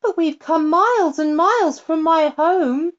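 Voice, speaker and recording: A woman's voice: very high-pitched, measured pace, pitched above the performer's own voice. A woman voicing a frightened, polite little girl who pleads anxiously. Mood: worried. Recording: dull lo-fi recording.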